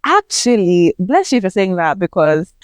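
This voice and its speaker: A young woman with a Nigerian accent talks earnestly on a podcast, acting out her own thoughts as she goes. Her voice is smooth and even-toned. The sound is dry and close. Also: fairly low pitch, measured pace, dry recording. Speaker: podcast host. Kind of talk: casual chat.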